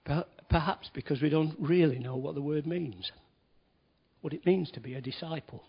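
A man's voice: a little high, normal speed, gravelly, husky. A man giving a conversational sermon in a church hall, with wry asides and emphatic stresses. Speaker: lay preacher. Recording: dull lo-fi recording.

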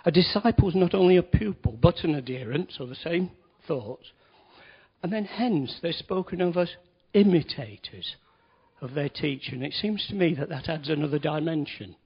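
Husky-voiced man preaching, dull lo-fi sound. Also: fairly high pitch, expressive, normal speed. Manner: chatty, wry asides, emphatic stresses. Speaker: lay preacher. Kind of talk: conversational sermon. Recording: dull lo-fi recording.

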